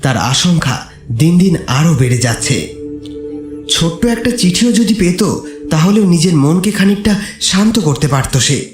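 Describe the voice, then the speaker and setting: Guy narrating a horror story, pretty high lively voice, dramatic, music behind. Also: unhurried. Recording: echoey, with music underneath.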